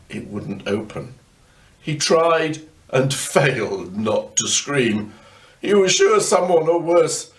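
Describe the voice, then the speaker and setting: An older man reading a children's ghost story aloud in a wry way, with dramatic pauses. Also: on the high side. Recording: distant microphone.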